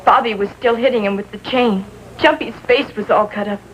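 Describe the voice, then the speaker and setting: An American woman playing a distraught, lovestruck sixteen-year-old girl in a 1950s TV drama, speaking in short, halting sentences. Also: mid-range voice, close to an everyday voice. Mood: shaken recounting. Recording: faintly noisy vintage TV soundtrack.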